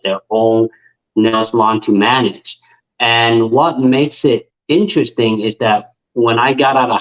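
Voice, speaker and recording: A man in his thirties from Vietnam, a guest telling his life story in a casual interview, growing animated when he quotes himself. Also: mid-range voice, lo-fi sound. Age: 30s. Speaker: guest, nail technician and influencer.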